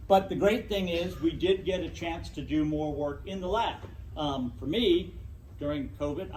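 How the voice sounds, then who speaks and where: Loud projecting man, echoey hall. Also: fairly high pitch, a little hiss or hum. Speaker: guest speaker. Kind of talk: public lecture to a club. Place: meeting room. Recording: echoey room, distant mic.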